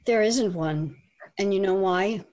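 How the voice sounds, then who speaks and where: A woman relationship coach and author, explaining her views as a guest in a measured way with fillers. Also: mid-range voice, a little mellow, low-quality recording. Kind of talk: interview guest.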